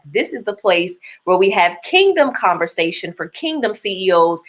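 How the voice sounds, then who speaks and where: A woman's voice: medium pitch, a bit bright. A woman from Baltimore with a Baltimore accent, hosting a live stream in an upbeat, chatty way, laughing often and reading out viewer comments. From Baltimore, Maryland. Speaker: host.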